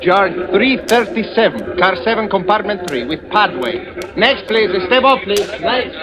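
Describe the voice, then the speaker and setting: High-voiced, brisk army clerk. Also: male voice, expressive, a loud crowd. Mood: brisk, official. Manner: clipped, official, polite. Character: brisk, formal army registration clerk calling recruits. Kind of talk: old-time radio drama acting.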